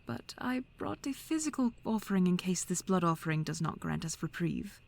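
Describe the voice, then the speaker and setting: A woman voicing an elegant, mysterious mentor who speaks in a measured, teasing way and often drops into whispers, in a fan audio drama. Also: medium pitch, gravelly, a little mellow.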